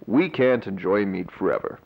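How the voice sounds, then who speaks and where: Male actor as corporate pitchman, polished, persuasive, lively intonation, scripted infomercial, dull audio. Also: moderate pace, vocal fry, background noise. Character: smooth-talking corporate pitchman for a meat substitute. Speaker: advertising narrator. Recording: dull lo-fi video audio over music. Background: music.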